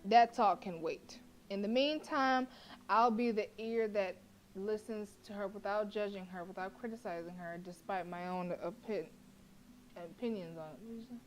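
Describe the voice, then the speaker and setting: A woman's voice: medium pitch, moderate pace, low-quality recording. A young woman streaming a horror game, reading the narration aloud expressively and chatting with viewers. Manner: expressive, laughs often, chats to viewers between read lines.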